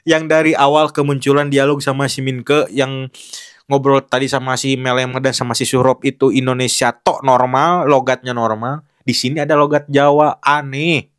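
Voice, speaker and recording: A man's voice: medium pitch, little pitch movement, normal speed, smooth. A young man giving casual, frank commentary on film acting, talking loosely with many fillers and restarts.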